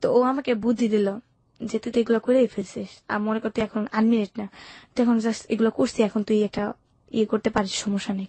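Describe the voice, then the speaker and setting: Young female interview guest, Bangladeshi accent, candid colloquial storytelling, quick pace, mid pitch, dry sound. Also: flat delivery, dark tone, no echo. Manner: colloquial, recounts events with quoted dialogue. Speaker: interview guest telling her life story. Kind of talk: candid personal storytelling in conversation.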